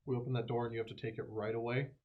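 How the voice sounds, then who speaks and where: A man's voice: mid-range voice, level tone, very fast, narrow, tinny audio. A man chatting casually and speaking in play as a player in a tabletop game.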